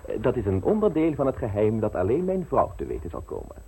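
An actor in a radio play voicing a suave, scheming gentleman with a polished, ironic, wordy manner. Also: medium pitch, huge pitch swings, quick pace, vocal fry, slight background noise, poor audio. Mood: teasing. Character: suave scheming gentleman political fixer, urbane and teasing.

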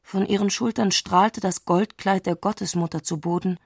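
Eine Frau spricht in einem literarischen Hörspiel die introspektive Erzählerin, die mit bedachten Pausen die Gedanken einer aufgewühlten Frau schildert. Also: female voice, medium pitch, fairly even intonation, vocal fry, husky, no echo, clean studio sound. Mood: intense, dreamy. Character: intimate, introspective female narrator of a troubled woman's thoughts. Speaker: narrator in a literary radio play. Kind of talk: literary radio drama narration.

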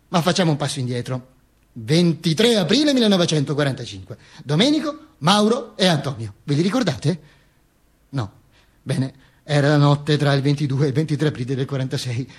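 A man's voice: fairly high pitch, slightly rough. A man performing a theatrical comic monologue as a dead man telling his own story, mock-serious and emphatic, with repetitions and asides. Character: Mussolini's corpse narrating its own misadventures with ironic wit. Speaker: stage actor and monologist.

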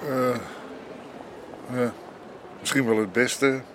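An actor playing a brooding, philosophical drifter, speaking deliberately in a radio drama. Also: male voice, mid-range voice, fairly even intonation, a bit bright, slight background noise. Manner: pensive, deliberate.

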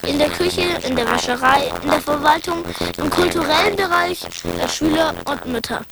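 A woman with a high voice reads out names and facts in an even, list-like way. Clear noise sits behind her. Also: moderate pace. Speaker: narrator. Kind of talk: scripted documentary reading. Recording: noisy background ambience.

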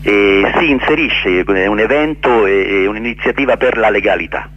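A man answering radio interview questions earnestly and haltingly, repeating words for emphasis. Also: fairly low pitch, highs cut off, slight background noise. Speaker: interview guest, actor and director. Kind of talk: radio interview answers, explaining his play.

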